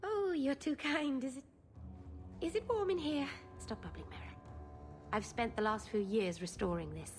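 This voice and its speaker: A woman acting a gentle, naive young elf mage in a video game, earnest and a little halting. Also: high voice, soft background music, lightly acted. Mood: shy, flustered.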